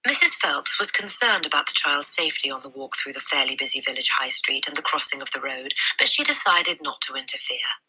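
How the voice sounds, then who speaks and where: A woman reading a children's story aloud as a teacher, with deliberate, expressive narration. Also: a bass voice, slightly rough, poor audio.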